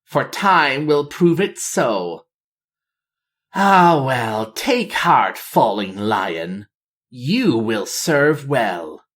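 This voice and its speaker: Storybook villainess, voiced by a man: undead elf, sing-song and gloating, swooping pitch, drawn-out delivery. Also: big pitch swings, a bit bright, heavily acted. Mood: mocking, gloating. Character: mocking undead elf woman villain, taunting, triumphant. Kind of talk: dramatic storybook character voice.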